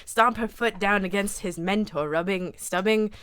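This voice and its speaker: A woman's voice: mid-range voice, normal speed, fairly bright tone, clean tone, no echo. A woman reading fan fiction aloud as an amateur, in a mock-serious dramatic narrating style.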